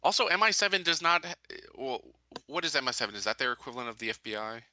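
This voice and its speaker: A man's voice: mid-range voice, very animated, fast, pushed and bright. A man playing a tabletop game, casually arguing his points. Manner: argumentative. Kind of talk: casual debate.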